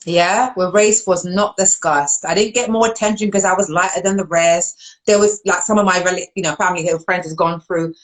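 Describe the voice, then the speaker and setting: London woman host, casual and animated, quick to laugh. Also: poor audio. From London, England. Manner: animated, laughs often, jumps up in pitch when excited. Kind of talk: casual live-stream chat.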